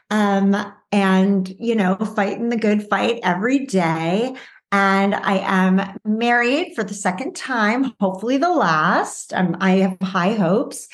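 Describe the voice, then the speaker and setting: A middle-aged woman, chatting casually as a podcast guest. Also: mid-range voice. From Los Angeles, California, USA. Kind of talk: casual interview chat.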